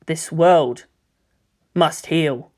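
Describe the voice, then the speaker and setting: A man's voice: on the high side, very slow. An earnest teenage boy in a sci-fi audiobook, voiced by a man, speaks with careful politeness. His wording is precise and technical, like a serious young student. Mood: brooding.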